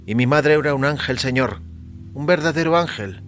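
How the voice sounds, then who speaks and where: A man's voice: mid-range voice, normal speed, a bit bright, pitched above the performer's own voice, lightly acted. A man voicing a meek, nervous, aggrieved little man in an audio story, hesitant and polite. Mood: wounded, hushed. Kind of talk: audio fiction story character. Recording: music under the voice.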